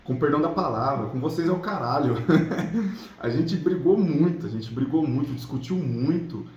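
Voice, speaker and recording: A man's voice: a little high, lively intonation, moderate pace, a little mellow, highs cut off. A Brazilian man giving casual motivational relationship coaching, chatting colloquially to viewers and checking in with little tag questions. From São Paulo state, Brazil. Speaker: relationship coach, channel host. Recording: roomy echo, clear background noise.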